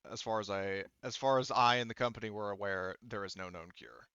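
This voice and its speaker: A man narrating improvised tabletop roleplay as game master, halting and conversational, on slightly dull, dry audio. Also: on the low side, bright, forceful tone, smooth, no room sound.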